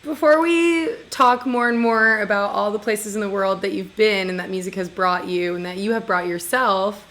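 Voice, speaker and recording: A woman's voice: mid-range voice, a bit bright. A woman hosting a casual interview show, asking questions and laughing often. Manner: upbeat, laughs often, quick reactions.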